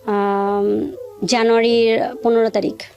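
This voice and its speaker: A middle-aged woman with a Bangladeshi accent giving a personal account as an interview guest, in a halting way with repeated short answers. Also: fairly high pitch, little pitch movement, creaky, darkish tone, faint music behind. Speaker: guest telling her life story.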